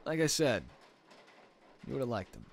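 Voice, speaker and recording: A man playing an easygoing, affectionate young boyfriend in an intimate roleplay audio, telling ghost stories in a casual, slangy way with thinking pauses. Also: mid-range voice, very animated, creaky. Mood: amused, animated. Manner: casual, slangy, hesitant pauses, storytelling aloud. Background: ambient noise of a storm.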